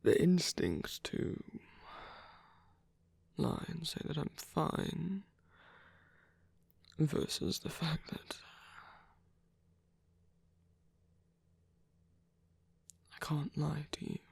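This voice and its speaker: Guy doing a tired soft villain stuck in bed hurting, breathy n croaky, hesitant, asmr roleplay, super close dry sound. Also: a little high, lively intonation, no echo, full character voice. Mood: hesitant, pained. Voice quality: breathy, creaky. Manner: hesitant, halting, soft-spoken. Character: weary, tender male villain, bedridden with pain. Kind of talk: intimate ASMR roleplay acting.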